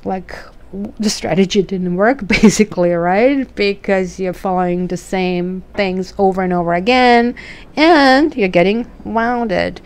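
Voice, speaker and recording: A woman's voice: medium pitch, measured pace, clear, resonant tone. A woman from Croatia with a Croatian accent, reading tarot cards in a chatty, musing way and asking questions aloud. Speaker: tarot reader.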